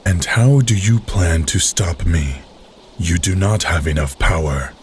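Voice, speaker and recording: An actor voicing a weary, stern, powerful immortal man in a video game, speaking gravely and with measured delivery. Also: low voice, expressive, a little hiss or hum. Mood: defiant. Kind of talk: dramatic video game dialogue.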